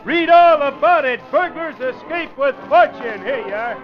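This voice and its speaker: An actor playing a shrill newsboy hawking papers with loud cries in an old-time radio drama. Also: lively intonation, moderate pace, over loud music. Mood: urgent hawking. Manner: shrill, high exclamations. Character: panicky butler and shrill shouting newsboy. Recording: muffled vintage radio recording.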